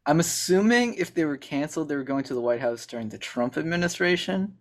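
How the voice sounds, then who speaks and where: A man in a casual podcast chat who guesses out loud and laughs often. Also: a little high, lively intonation, a little fast.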